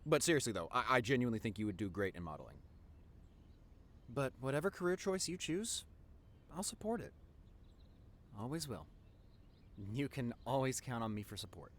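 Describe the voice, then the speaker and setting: Casting: male actor as devoted nerdy young boyfriend for audio roleplay; mid-range voice, lively intonation, earnest and teasing. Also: mid-range voice, moderate pace, long pauses, a little hiss or hum. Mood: teasing, affectionate.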